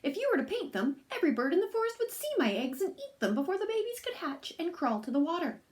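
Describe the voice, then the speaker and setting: A woman voicing a cranky mother turtle in a storybook, scolding in a hurried, snappish way. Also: very high voice, very animated, darkish tone, pitched above the performer's own voice.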